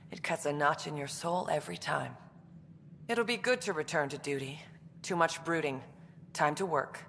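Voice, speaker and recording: An actress playing a centuries-old alien commando in a video game, brooding, resolute and controlled. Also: female voice, low-pitched, moderate pace, vocal fry, long pauses, a bit bright. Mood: stoic, brooding. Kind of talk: dramatic video game acting. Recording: long reverb, faint background noise.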